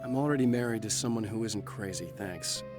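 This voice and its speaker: An actor playing a weary married writer who answers in a dry, exasperated, deflecting way. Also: male voice, fairly low pitch, fairly quick, over music, close to an everyday voice. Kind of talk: video game cutscene acting.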